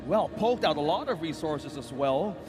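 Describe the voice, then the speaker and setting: A man's voice: a little high, expressive, normal speed, over music. A man commentating an esports broadcast, breaking down items and builds in detail. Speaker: esports caster. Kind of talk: analytical play-by-play commentary.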